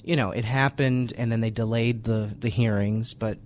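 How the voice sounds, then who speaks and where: A man's voice: medium pitch, fairly even intonation, moderate pace. Rambling, joking male radio co-host. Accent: Boston. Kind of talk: casual chat.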